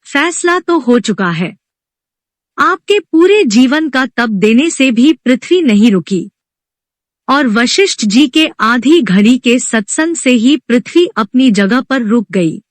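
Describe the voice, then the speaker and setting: Woman voicing a slow, calm, wise serpent god. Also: fairly high pitch, no room sound. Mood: calm, firm.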